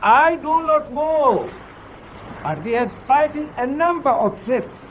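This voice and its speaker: An actor playing a smooth-talking German tanker officer in a radio drama, hailing loudly and deliberately across water. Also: male voice, very high voice, animated, a little hiss or hum, heavily acted, rough recording. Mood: composed, hailing.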